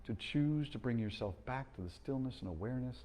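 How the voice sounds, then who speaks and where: A man guiding a slow breathing meditation, speaking calmly and gently with long pauses. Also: mid-range voice. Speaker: meditation guide.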